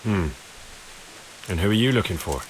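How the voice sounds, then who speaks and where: A man's voice: low voice, animated, normal speed, a little hiss or hum, poor audio. An actor playing an earnest young man in a video game, questioning people in a direct, probing way. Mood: guarded. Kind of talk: video game cutscene acting.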